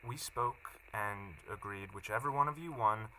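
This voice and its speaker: Audio drama: polite, evasive male functionary, extremely bright and harsh, hesitant, faint background noise. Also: on the low side, normal speed, low-quality recording. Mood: apologetic, awkward. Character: awkward, apologetic male company official, evasive and callous. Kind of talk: naturalistic audio drama acting.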